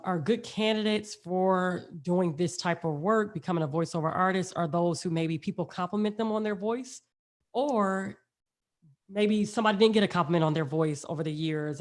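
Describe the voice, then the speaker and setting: A woman's voice: on the low side, a little fast. Woman co-host asking winding questions. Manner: asks long winding questions. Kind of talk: casual interview talk.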